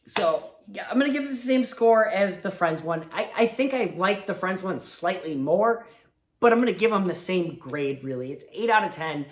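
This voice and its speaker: A man's voice: sits high, expressive, moderate pace. A man hosting a casual movie review show, sick, sniffling and with his voice fading, talking in a rambling, heartfelt way. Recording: roomy echo.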